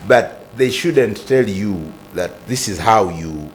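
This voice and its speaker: A man hosts a talk show with a lowish voice that swings widely in pitch. He probes and restates points, often restarting mid-sentence. Faint background noise is present. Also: lively intonation, takes it slowly. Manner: probing, restates the guest's points, stumbles on restarts.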